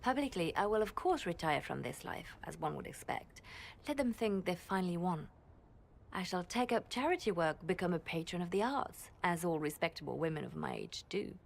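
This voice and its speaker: An actress playing a composed spymaster who speaks softly and deliberately, with a conspiratorial edge. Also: female voice, fairly low pitch, lively intonation, creaky. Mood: measured. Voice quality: soft, measured, quietly conspiratorial. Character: composed, scheming spymaster woman.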